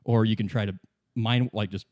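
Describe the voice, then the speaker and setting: A man's voice: on the low side, fairly even intonation, a little mellow, no echo, studio quality. A man casually narrating a tabletop game as game master, improvising and rephrasing as he goes. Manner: informal, halting, rephrasing as he goes.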